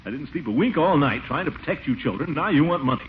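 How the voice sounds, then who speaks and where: An actor playing a pompous, flustered middle-aged uncle in a 1940s radio comedy, blustering and muttering to himself. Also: male voice, a little high, expressive, quick pace, close to an everyday voice. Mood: indignant. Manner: blustery, muttering, self-important. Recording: dull, noisy 1940s radio recording.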